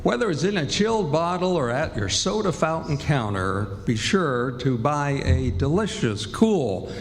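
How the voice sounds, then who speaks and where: A man's voice: on the high side, big pitch swings, unhurried. Male old-time radio announcer, salesmanlike and swooping, live hall reverb, faint music beneath. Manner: polished salesmanlike delivery.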